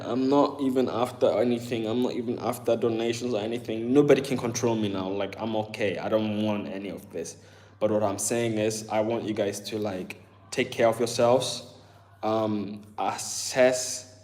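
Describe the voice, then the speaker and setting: A man's voice: fairly low pitch, a little mellow. A man with an accent that mixes Southern English, German and Ghanaian, chatting casually and rambling to his live-stream viewers. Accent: mix of Southern English, German and Ghanaian. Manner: rambling, full of fillers, addresses chat as bro. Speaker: livestream host.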